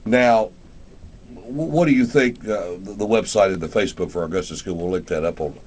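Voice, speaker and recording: A man's voice: lowish voice, brisk, darkish tone, husky. A middle-aged or older man, hosting a casual radio interview in a folksy, rambling way. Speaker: radio show host.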